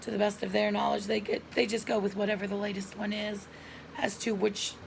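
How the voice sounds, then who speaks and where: A middle-aged woman with a hoarse voice and frequent coughs, telling viewers a personal story in an earnest, chatty way, recorded at home on a camera mic. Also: mid-range voice, level tone, brisk, noisy background. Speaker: lifestyle vlogger.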